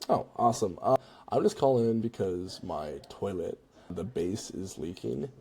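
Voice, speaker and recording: Deep-voiced, gravelly casual guy presenting a tutorial. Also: animated, moderate pace, dark tone. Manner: informal, conversational, checks in with "right?" and "you know". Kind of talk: casual screen-recorded software walkthrough.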